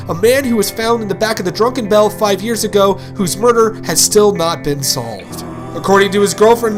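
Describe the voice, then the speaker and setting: High-pitched formal news anchor acted by a man. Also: close to an everyday voice. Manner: formal, measured. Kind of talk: scripted news bulletin delivery.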